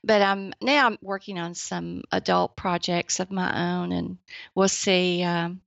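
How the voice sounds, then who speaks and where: Older woman with a girlish, gravelly voice. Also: on the low side, steady tone, measured pace, no room sound. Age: 70s. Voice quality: youthful, girlish-sounding voice. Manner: soft-spoken, laughs often, gentle. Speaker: podcast guest, writer and editor. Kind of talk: casual interview chat. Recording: dry, slightly dull lo-fi sound.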